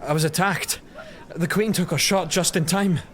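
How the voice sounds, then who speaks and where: Hesitant, polite young knight. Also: male voice, high-pitched, a bit bright, a little hiss or hum. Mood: embarrassed. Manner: halting, polite, modest. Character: hesitant, deferential young knight, guarded and awkward. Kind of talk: audio drama acting.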